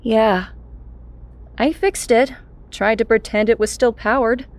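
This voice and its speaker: A woman's voice: fairly high pitch, steady tone, measured pace, lightly acted. A woman playing a battle-hardened, defiant former assassin turned ally in an audio drama. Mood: urgent, bitter.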